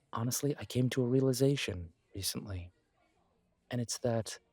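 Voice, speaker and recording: A man playing a low-voiced, earnest best friend. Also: dry recording. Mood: reflective, earnest. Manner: earnest, encouraging, conversational with pauses. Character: supportive, self-deprecating male best friend, young working adult. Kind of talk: casual conversational roleplay audio drama.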